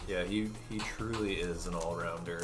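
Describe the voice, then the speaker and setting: A low-voiced man talks loosely to his stream chat from a home desk, half muttering to himself as he works. He is close on a desk mic on a boom arm, and music plays clearly behind him. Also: moderate pace. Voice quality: deep voice. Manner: relaxed, muttering to himself while solving. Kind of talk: casual live-stream chat.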